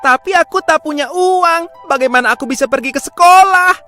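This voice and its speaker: A woman's voice: extremely high pitch, brisk, bright, forceful tone, soft background music. A woman voicing a naive, eager little wooden puppet boy in an innocent, childlike way for a storybook cartoon. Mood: worried.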